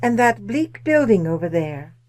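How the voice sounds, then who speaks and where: An actress playing an ageless female spirit in an old-time radio drama, calm and commanding, with formal, measured speech. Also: mid-range voice, slight background noise. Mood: calmly commanding.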